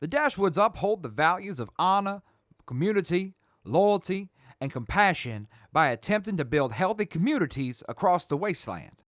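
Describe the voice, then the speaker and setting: A man's voice: high-pitched, expressive, normal speed, fairly bright tone, no echo, clean studio sound. A man playing a composed, honorable mercenary major, speaking formally and earnestly in an audio drama. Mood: proud, earnest.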